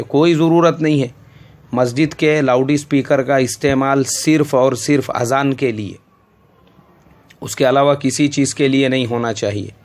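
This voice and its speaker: A male Islamic scholar with an Indian accent, answering a listener's question in a scolding, emphatic preaching style. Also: mid-range voice.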